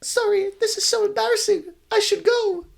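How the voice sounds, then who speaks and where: A man doing a high gentle ghost girl. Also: steady tone, normal speed, creaky, heavily acted. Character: young female ghost girl, gentle and forgiving.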